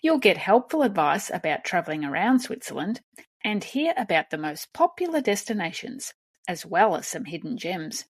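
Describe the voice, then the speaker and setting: A woman's voice: medium pitch, no echo. A woman hosting a travel podcast, reading a scripted intro and then chatting with a guest. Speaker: podcast host.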